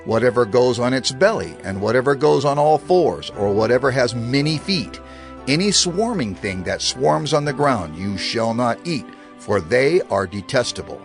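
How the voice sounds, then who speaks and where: A man narrating scripture in a dramatized recording, reading in a measured, expressive way. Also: mid-range voice. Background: music bed.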